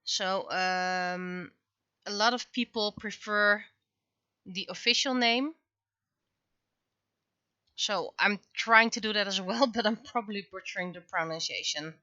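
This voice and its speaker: Bright-voiced woman, dull dry recording. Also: medium pitch, no room sound. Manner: halting, thinking aloud. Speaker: livestreaming webcomic artist. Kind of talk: casual livestream chat while drawing.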